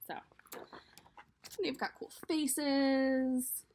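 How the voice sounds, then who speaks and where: A woman's voice: sits high, level tone, drawn-out words, a little mellow, slight background noise. A woman in her thirties casually introducing a picture book to children, speaking directly to young viewers in a room at home. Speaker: children's storyteller. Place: small room at home, indoors.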